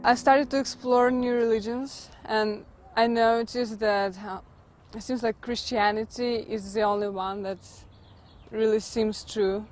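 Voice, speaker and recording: A woman's voice: a little high, fairly even intonation, unhurried, faint music behind. A young adult woman from Kazakhstan, a student, speaking candidly in an interview.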